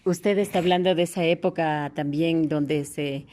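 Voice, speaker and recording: Female interviewer, slightly low voice, formal address, respectful long questions. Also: steady tone, moderate pace, mellow, a little hiss or hum.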